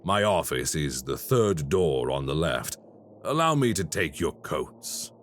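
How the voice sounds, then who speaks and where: An actor playing a polite, quietly menacing male crime boss in an audio roleplay. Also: extremely low pitch, very animated, slight background noise, heavily acted. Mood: smooth menace. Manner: slow, courteous and quietly menacing.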